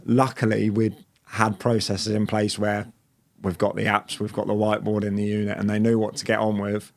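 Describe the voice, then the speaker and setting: A man's voice: fairly low pitch, normal speed, vocal fry. A man in his late twenties, a business owner as guest, talking casually with fillers and restarts. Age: late 20s. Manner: relaxed, rambling with fillers and restarts. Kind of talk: casual interview chat.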